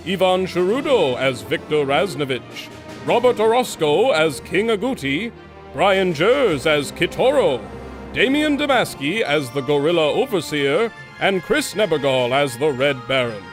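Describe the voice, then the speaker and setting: A man announcing the credits in a theatrical old-time radio style. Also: on the high side. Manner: bright, theatrical.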